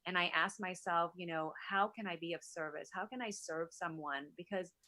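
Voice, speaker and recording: A woman's voice: fairly low pitch, moderate pace, pushed and bright, dry recording. A middle-aged woman from Vietnam, a native Vietnamese speaker, talks effusively and enthusiastically as a guest in a conversational interview. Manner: effusive, enthusiastic, frequent rhetorical asides. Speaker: interview guest, wellness entrepreneur.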